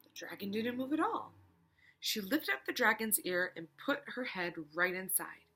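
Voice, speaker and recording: Bright, animated woman reading a storybook. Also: medium pitch, moderate pace, breathy edge. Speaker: read-aloud storyteller. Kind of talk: expressive children's picture book read-aloud with asides.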